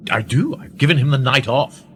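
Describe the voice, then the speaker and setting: A man playing a genial, wry gentleman receiving a visitor in a radio play, courteous and philosophical in manner. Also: medium pitch, fairly quick, a little hiss or hum, lightly acted.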